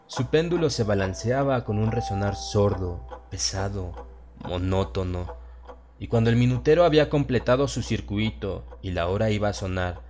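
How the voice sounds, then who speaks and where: A man with a Latin American Spanish accent narrates a horror audiobook in a solemn, measured, dramatic way. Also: on the low side, darkish tone. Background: music.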